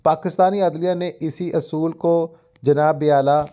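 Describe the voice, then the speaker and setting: A man's voice: fairly high pitch, fairly even intonation, measured pace, mellow, clean tone. A man with a Pakistani accent lecturing formally on law, explaining as he reads from notes and mixing in English legal terms.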